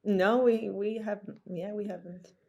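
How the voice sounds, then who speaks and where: A woman's voice: mid-range voice, steady tone, measured pace, gravelly, dark tone, highs cut off. A woman, a podcast guest speaking English hesitantly with frequent fillers. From Slovakia. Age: 20s. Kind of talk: casual interview talk.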